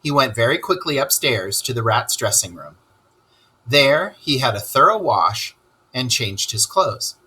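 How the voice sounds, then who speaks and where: A man narrating a children's story aloud in an expressive storytelling style. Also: medium pitch, unhurried, breathy edge.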